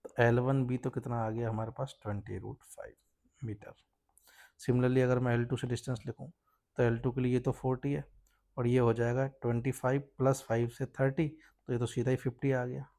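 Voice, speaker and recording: A man's voice: medium pitch, flat delivery, brisk, mellow. A man with an Indian accent, a physics teacher explaining a worked problem step by step and checking understanding with short tags.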